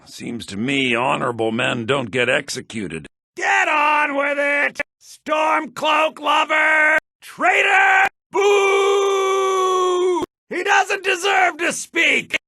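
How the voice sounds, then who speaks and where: An actor playing a sly, cynical townsman in a fantasy video game, with a husky, gravelly voice and a wry, conniving, expressive delivery. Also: extremely high pitch, takes it slowly, dry recording, shouting, clean studio sound, full character voice. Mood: wry, grumbling, then shouting, jeering. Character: sly, cynical adult male townsman and schemer. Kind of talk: scripted game dialogue.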